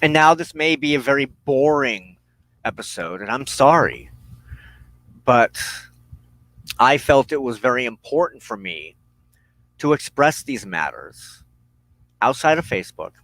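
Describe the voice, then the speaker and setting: A man speaks as the host of a reflective monologue, unscripted and earnest. His pace is very slow, with halting, emphatic phrases and long pauses. The sound is dry and close, with little trace of the room. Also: medium pitch, fairly bright tone, dry recording, rough recording. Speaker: host of a weekly spiritual broadcast.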